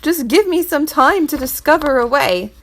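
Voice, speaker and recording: A frightened poor man who begs in a high, strained voice, as a woman reader puts him on. He speaks slowly and with urgency in a storybook. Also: lively intonation, fairly bright tone. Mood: pleading. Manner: pleading, shrill.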